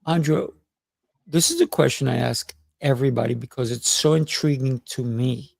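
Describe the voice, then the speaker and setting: Gravelly male podcast host. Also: medium pitch, normal speed, dry recording. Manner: warm, encouraging, with frequent affirmations. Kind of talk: conversational interview.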